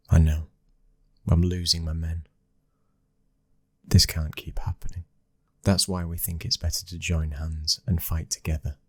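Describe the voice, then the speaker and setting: A man's voice: extremely low pitch, breathy edge, no echo, exaggerated voice. A British man playing a cold, commanding young mafia boss's son in an ASMR roleplay, terse and domineering. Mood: stern, businesslike.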